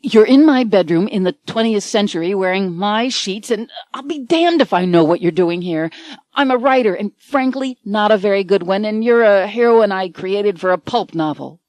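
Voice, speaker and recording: A woman's voice: medium pitch, animated, moderate pace, darkish tone, dry recording, natural voice. A hungover male writer, flustered and exasperated, grumbling his way through a baffling morning, as a woman narrator puts him on. The sound is dry and close.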